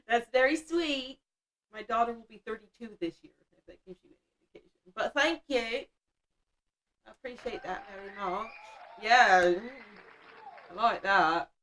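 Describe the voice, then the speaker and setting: A woman's voice: a little high, unhurried, a bit bright, poor audio. A woman in her fifties hosting a casual livestream, chatty and blunt, laughing often as she reads the chat. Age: 50s. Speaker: livestream host.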